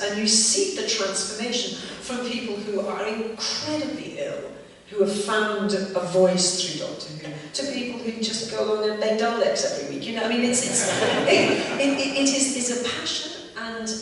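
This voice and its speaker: A woman's voice: mid-range voice, a little hiss or hum. A woman, a guest actor on a convention panel, chatting animatedly with many restarts. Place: large theatre stage. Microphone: distant hall pickup. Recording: echoey theatre from far off.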